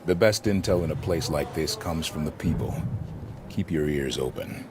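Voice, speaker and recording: A very deep-voiced, composed squad leader. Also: male voice, background noise. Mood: composed, polite. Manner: measured, formal, businesslike. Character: calm, disciplined male futuristic soldier squad leader. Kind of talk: video game cutscene acting.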